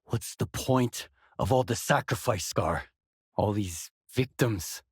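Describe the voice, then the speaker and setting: Weary cynical game stalker, low husky voice, slow delivery, terse, sardonic, gruff. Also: male voice, no echo, studio quality, heavily acted. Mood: grim, weary. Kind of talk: video game voice lines, dramatic acting.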